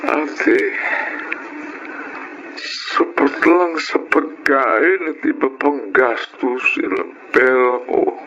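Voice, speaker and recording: A sickly, struggling young adult acted by a man talks meekly and haltingly in radio-drama style. The delivery is very slow, on a dull, faintly noisy recording. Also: medium pitch, sharp tone, exaggerated voice. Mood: dejected. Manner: subdued, halting, meek. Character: ailing, meek, struggling younger adult sibling. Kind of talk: Filipino radio drama acting. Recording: dull lo-fi sound, faint background noise.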